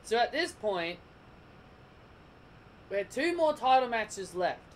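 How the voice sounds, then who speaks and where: A man giving a casual, rambling wrestling review, often restarting his sentences, his voice croaking. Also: high voice, big pitch swings, takes it slowly, slight background noise. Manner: rambling, restarts and corrects himself.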